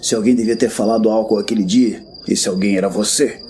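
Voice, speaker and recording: An actor playing a grim, world-weary veteran warrior master in a fantasy audio drama, speaking bluntly and solemnly. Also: male voice, lowish voice, steady tone, brisk, dark tone, a little hiss or hum, close to an everyday voice. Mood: stern. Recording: background music.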